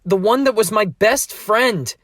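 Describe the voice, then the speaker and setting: A man's voice: sits high, a little slow, a bit bright, dry recording, studio quality, heavily acted. A man voicing an anxious, whiny thirteen-year-old boy in an amateur fandub, exasperated and hesitant. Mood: hesitant, regretful.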